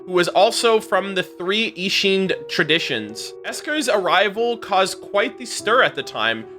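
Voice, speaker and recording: A man's voice: sits high, pushed and bright. A man hosting a reaction video, reading game lore aloud like a dramatic storyteller with casual asides. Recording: music behind the voice. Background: plucked guitar music.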